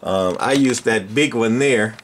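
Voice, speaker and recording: Older male workshop host, folksy, halting, casual demo talk, mid pitch. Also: a little hiss or hum. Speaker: radio repair channel host. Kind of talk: casual equipment demonstration. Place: indoor electronics workshop.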